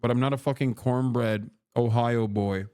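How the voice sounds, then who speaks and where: A man's voice: medium pitch, steady tone, moderate pace, dark tone. Profane, bantering man from Turkey. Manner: profane, bantering, reads chat aloud and argues with it. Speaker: streamer. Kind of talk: casual livestream chat while eating.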